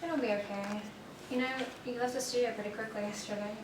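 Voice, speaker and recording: An actress playing a poised, wryly teasing and reassuring star's wife in a stage play. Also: female voice, medium pitch, fairly even intonation, quick pace, close to an everyday voice. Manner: wry, reassuring. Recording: distant, echoey, noisy stage recording.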